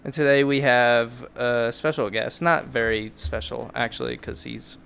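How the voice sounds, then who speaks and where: A man's voice: mid-range voice, steady tone, a little slow, vocal fry, fairly bright tone, clear, resonant tone. A man hosting a podcast in casual, joking chat, teasing and rambling as he goes. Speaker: podcast host.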